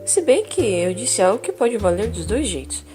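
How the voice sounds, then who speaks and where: A woman playing a calm female therapist in an audio drama, gently joking with her patient, with clear music underneath. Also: mid-range voice, normal speed, natural voice.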